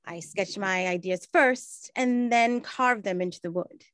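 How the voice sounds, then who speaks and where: An earnest, measured woman from a 1920s period play, read by an amateur actress. Her pitch is medium and the sound is very dry, with little room around it. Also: no room sound, lightly acted. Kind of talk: amateur scripted stage acting.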